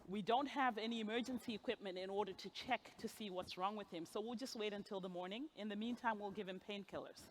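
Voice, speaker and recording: A woman's voice: mid-range voice, fast talker, hardly any pauses, a little hiss or hum. A woman in her forties from Zimbabwe, giving a personal storytelling speech at a gala and laughing at her own asides. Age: 40s. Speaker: gala speaker. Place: gala event hall, on stage.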